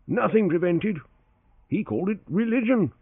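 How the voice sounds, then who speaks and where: A man's voice: high-pitched, lively intonation, moderate pace, slightly rough, dry recording, pitched above the performer's own voice, full character voice. A man voicing an elderly male valet who is humble and deferential, stammering and eager to please. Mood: reverent. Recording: thin phone-like sound, dry.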